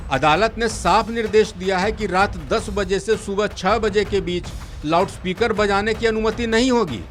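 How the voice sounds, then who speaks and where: A man reading scripted news as a presenter, in a formal, measured delivery. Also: high voice, a bit bright. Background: faint background music.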